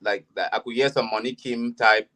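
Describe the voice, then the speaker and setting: Panel guest, man, mid-pitched, a musician, halting delivery with lots of restarts. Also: fairly even intonation, bright, forceful tone. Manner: hesitant, restarts, many fillers. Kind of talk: panel discussion.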